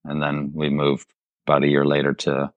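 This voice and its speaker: A man's voice: very deep voice, completely flat delivery, moderate pace, clean tone, no echo, phone-quality sound. A middle-aged man who grew up in southern Germany, talking informally as an artist in an interview and telling stories with bits of quoted dialogue. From raised in southern Germany. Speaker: installation artist, podcast guest. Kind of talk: casual conversational storytelling.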